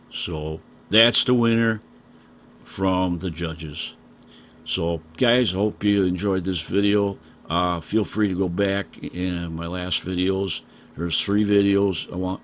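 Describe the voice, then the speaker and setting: An older man with a scratchy voice, talking casually to his vlog audience with many pauses and "uh"s. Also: sits low, fairly bright tone. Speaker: vlogger. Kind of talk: casual vlog talk to camera.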